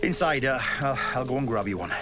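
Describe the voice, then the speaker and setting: A man's voice: medium pitch, expressive, quick pace, lightly acted. An actor playing a cheerful, boisterous, teasing man at a backyard barbecue in an audio drama. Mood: casual, teasing. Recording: music under the dialogue.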